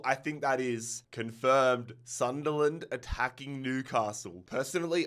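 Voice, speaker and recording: Male gaming host, mid-pitched, congested nasal tone from a cold, excited play-by-play commentary.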